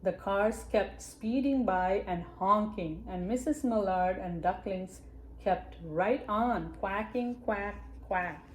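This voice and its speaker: A woman reads a children's picture book aloud in an unhurried, storytime manner, pausing now and then to address young listeners. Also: medium pitch, slight background noise. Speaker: library storytime reader.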